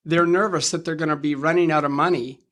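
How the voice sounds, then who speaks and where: A man in his fifties with a fairly high voice for a man talks money matters in an easy conversational way. He is measured and thoughtful, often stopping to rephrase before going on. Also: steady tone, fairly bright tone. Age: 50s. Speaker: financial advisor co-host. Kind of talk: conversational interview.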